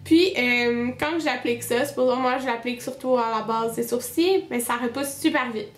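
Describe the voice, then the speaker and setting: Chatty Québécois woman, high and stuffy. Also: normal speed, echoey room. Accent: Québécois French. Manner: chatty, many fillers and restarts. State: stuffy with a cold. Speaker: beauty vlogger. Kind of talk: casual monthly favorites video.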